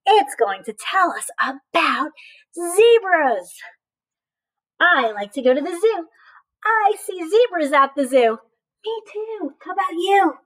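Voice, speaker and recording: Slow-paced woman teaching young children. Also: very high-pitched. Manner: animated, encouraging, asks questions and pauses for answers. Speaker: early-years teacher reading a picture book aloud. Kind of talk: read-aloud lesson for young children.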